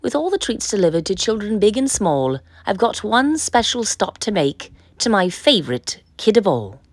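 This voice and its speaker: Female storyteller for kids' picture book: lively melodic range, slightly breathy texture, dry close sound, sing-song rhyme delivery. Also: mid-range voice, normal speed, no echo. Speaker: storyteller reading a children's picture book aloud. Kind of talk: expressive read-aloud narration.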